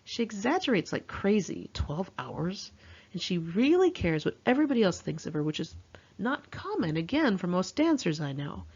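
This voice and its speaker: A woman narrates a confessional memoir in a wry, conversational voice. Her delivery is very animated, swinging up and down for dramatic effect, with a somewhat husky tone. The recording is dull and lo-fi, like a homemade audiobook. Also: mid-range voice, moderate pace. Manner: candid, wry, dramatically expressive. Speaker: author reading own memoir. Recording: muffled lo-fi home recording.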